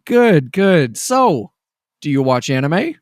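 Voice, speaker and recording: A fairly high-pitched man hosts a relaxed podcast interview. He is upbeat, laughs easily and keeps the guest going with short affirmations. His voice sounds close and dry. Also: takes it slowly, no echo. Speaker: podcast host. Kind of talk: casual interview chat.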